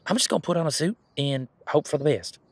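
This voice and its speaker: A man playing an easygoing, protective werewolf bodyguard in a roleplay audio drama, chatting in casual, halting, folksy phrases. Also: on the high side, lively intonation, slightly rough, dry recording. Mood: relaxed, upbeat. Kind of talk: roleplay audio drama, conversational monologue to listener.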